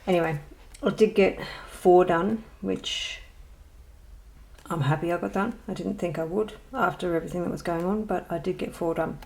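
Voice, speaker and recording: Gravelly low-voiced woman, rambling chat. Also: moderate pace, darkish tone. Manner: rambling and hesitant, many ums and restarts; self-deprecating, chatty asides. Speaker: colouring channel host. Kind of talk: casual show-and-tell vlog.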